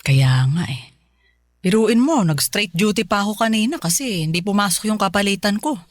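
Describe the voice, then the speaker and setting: A woman's voice: mid-range voice, expressive, moderate pace, no room sound, clean studio sound, lightly acted. A woman playing a caring, tired middle-aged mother in a Filipino radio drama, speaking in a homely, chatty way. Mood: weary, caring.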